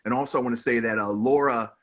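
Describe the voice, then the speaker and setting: A man's voice: mid-range voice, fairly even intonation, fast talker. Guy hosting a zoom panel, upbeat and encouraging, hypes people up as he goes. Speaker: host and moderator of a panel. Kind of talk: upbeat moderating of an online panel. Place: online video call.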